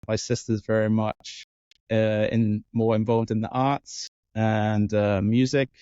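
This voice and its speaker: A man's voice: on the low side, level tone, slow pace, dark tone, clear, resonant tone, no echo. A man in his forties from Wales, a neuroscientist answering interview questions thoughtfully, with many fillers and restarts. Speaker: guest neuroscientist. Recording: dull lo-fi audio.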